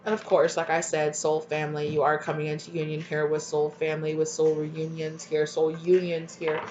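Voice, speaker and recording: A woman's voice: low-pitched, monotone, moderate pace, darkish tone. Stuffy-voiced woman giving a tarot reading. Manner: rambling, keeps checking in with "okay?" and "right?". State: stuffy, congested voice. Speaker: tarot reader. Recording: dull lo-fi home recording, faint noise. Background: dogs barking at times.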